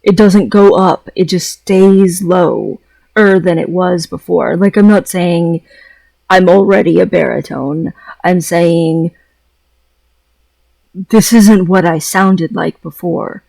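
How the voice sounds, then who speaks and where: A man's voice: high voice, creaky, darkish tone, no room sound, poor audio. A man talking in a casual, rambling vlog style, hesitant, often repeating phrases and trailing off mid-thought. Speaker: vlogger.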